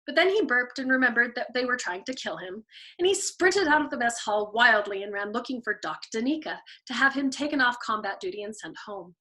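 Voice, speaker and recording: Bright, animated woman reading aloud. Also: a little high, brisk. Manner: expressive and animated. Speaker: storyteller.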